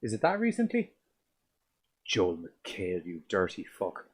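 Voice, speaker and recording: A man's voice: huge pitch swings, unhurried, breathy edge. A man, co-hosting a casual movie review podcast, rambling and swearing as he goes. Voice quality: deep voice. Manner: sweary, rambling, restarts often. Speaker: podcast co-host.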